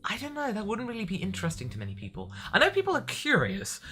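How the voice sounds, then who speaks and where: A man's voice: sits high, wildly swooping pitch, quick pace, bright, forceful tone, husky. A man speaking expressively as a vlogger answering viewer questions, stressing and stretching words for emphasis. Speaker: vlogger and anime parody voice actor.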